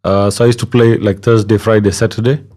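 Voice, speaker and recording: Guest: relaxed man from Kenya, 30s, lowish voice, easygoing pauses. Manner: relaxed, hesitant with many fillers, laughs easily. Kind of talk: casual interview conversation.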